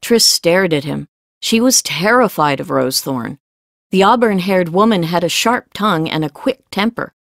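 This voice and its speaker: Lower-pitched woman narrating a novel. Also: animated, moderate pace, vocal fry, no room sound, clean studio sound. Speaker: audiobook narrator. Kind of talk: scripted fiction narration.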